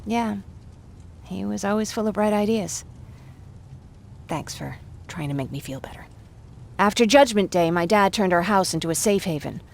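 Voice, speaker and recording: An actress playing a warm, joking young survivor in a video game, chatty and quick to apologise for herself. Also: female voice, mid-range voice, vocal fry, natural voice. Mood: wistful, chatty. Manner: chatty, self-deprecating, apologetic. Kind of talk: video game dialogue acting.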